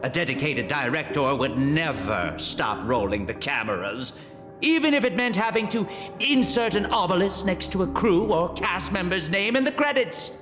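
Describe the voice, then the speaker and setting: A fanatical director from a video game. His voice is a little high and swings widely as he declaims with grand devotion to his art. Music plays behind a long reverb. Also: big pitch swings, normal speed. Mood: resolute, grandiose. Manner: dramatic, declamatory. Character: theatrical, fanatically devoted male film director.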